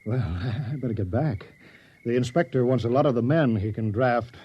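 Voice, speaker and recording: An actor playing a fussy village mayor who talks in a fluttery, apologetic, stammering way in a 1950s radio drama. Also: male voice, mid-range voice, moderate pace, breathy edge, natural voice. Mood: cheerful. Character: fussy, flustered village mayor turned general. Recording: dull old radio recording.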